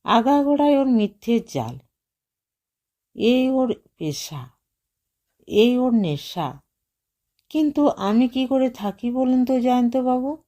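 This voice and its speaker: A woman's voice: a little high, animated, takes it slowly, long pauses, mellow, voice pushed up. A woman reader voicing an anguished, resolute young housewife who pleads with bitter insistence in an audio story.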